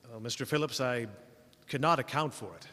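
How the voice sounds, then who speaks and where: An actor playing a calm, skeptical astronomy professor in a radio play, speaking deliberately and precisely like an academic. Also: male voice, medium pitch. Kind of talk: old-time radio drama acting. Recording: hall reverb.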